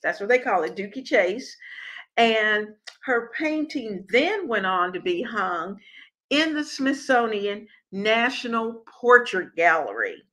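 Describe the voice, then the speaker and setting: An older woman hosting a home cooking show, telling a story to viewers in a slow, measured way with pauses and fillers, recorded on a webcam at home. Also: a little high, sing-song, fairly bright tone. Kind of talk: casual talk to viewers.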